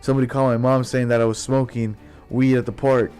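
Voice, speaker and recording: A man's voice: medium pitch, flat delivery, fast, darkish tone, clean tone, faint music behind, close to an everyday voice. A man voicing an indignant teenage schoolboy friend in a told story, slangy and full of swearing.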